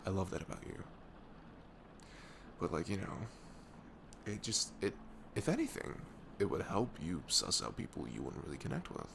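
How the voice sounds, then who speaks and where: Man acting as caring young male best friend, low voice, expressive swings in pitch, soft and earnest, ASMR roleplay, noisy recording. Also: normal speed, heavily acted. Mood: sympathetic, candid. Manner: soft-spoken, often breathy, hesitant, earnest. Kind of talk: ASMR roleplay acting, intimate casual talk.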